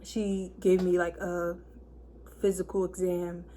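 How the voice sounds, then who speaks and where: Hoarse young woman chatting slowly. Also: on the low side, little pitch movement, mellow, slight background noise. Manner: chatty, heavy on fillers. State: hoarse, losing her voice. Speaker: vlogger. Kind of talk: casual story time chat.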